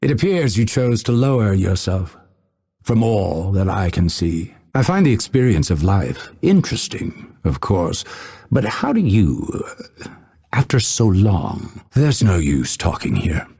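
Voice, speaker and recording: An actor playing a proud, scholarly male assassin in a video game, speaking in a measured, formal, dryly witty and haughty way with a husky voice. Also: sits low, sing-song, mellow, full character voice. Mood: cool, dry. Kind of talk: video game character voice lines.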